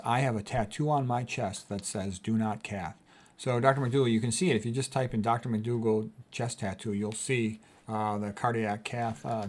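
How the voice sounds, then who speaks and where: A man's voice: fairly low pitch, a little mellow. A man of sixty, a physician, reading quotes aloud and commenting on them in an emphatic, casual way, often repeating his key points. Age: 60s. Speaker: physician host.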